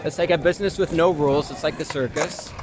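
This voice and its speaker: High-pitched young American man, casual and halting. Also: fairly even intonation, noisy background. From Long Island, United States. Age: young adult. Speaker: actor being interviewed. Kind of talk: casual conversational interview answers.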